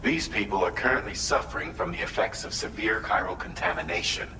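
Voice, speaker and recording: An actor playing a composed, businesslike mission adviser in a video game, giving formal, measured and precise briefings. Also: male voice, low voice, lively intonation, roomy sound, noisy background. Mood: measured, serious.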